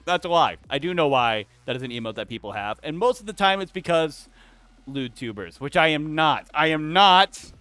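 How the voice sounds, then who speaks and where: A man's voice: fairly high pitch, expressive, normal speed, bright, forceful tone, clear, resonant tone, no echo, faint music behind. A young man streaming, chatting casually and rambling, often cutting himself off to answer chat. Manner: rambling and self-interrupting, talks back to chat.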